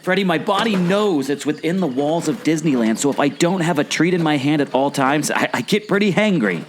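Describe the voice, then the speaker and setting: Casting: good-natured, easily distracted sidekick , played by a man, lightish pitch, very expressive, comic audio drama. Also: fairly quick, slight background noise, close to an everyday voice. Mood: playful. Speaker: podcast co-host. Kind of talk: scripted audio drama dialogue.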